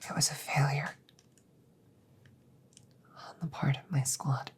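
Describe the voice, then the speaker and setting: Woman acting a female android soldier, hushed and breathy, low flat pitch, slow formal delivery, stoic, polite, quietly grieving, ASMR roleplay. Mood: subdued, sorrowful. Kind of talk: whispered roleplay ASMR audio drama.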